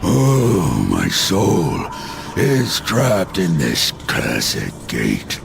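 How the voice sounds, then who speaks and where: An actor playing a tormented trapped soul in a video game, pleading in a drawn-out, anguished wail. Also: male voice, deep voice, huge pitch swings, vocal fry, exaggerated voice. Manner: drawn-out, anguished wailing delivery. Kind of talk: dramatic video game acting.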